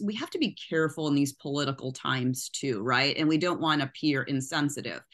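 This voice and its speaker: A woman's voice: low voice, a bit bright. A middle-aged poet from the US Midwest with a Midwestern accent, talking in a chatty way about her poems and often asking "right?". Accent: Midwestern American. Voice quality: nasal. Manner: chatty, tags lines with "right?". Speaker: poet, guest. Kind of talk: casual interview chat.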